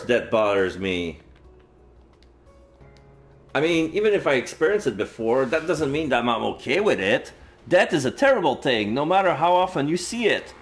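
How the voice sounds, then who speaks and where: A man livestreaming a visual novel, reading the text aloud casually with jokey, muttered asides. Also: medium pitch. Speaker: streamer playing a visual novel. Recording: roomy sound, faint game music. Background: faint game music.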